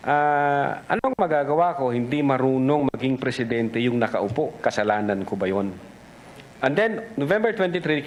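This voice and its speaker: A man with a Filipino accent giving formal, deliberate testimony as an investigator at a hearing, switching between English and Tagalog. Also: mid-range voice, slight background noise, rough recording.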